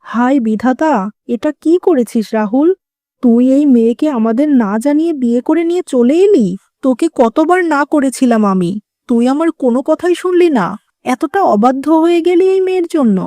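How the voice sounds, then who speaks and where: A woman as a scolding, mellow-voiced mother-in-law. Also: high voice, expressive, normal speed, dry recording, clean studio sound. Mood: shocked, angry. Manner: scolding, lamenting. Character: scolding, traditional, lamenting middle-aged mother-in-law. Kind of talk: dramatic cartoon dialogue.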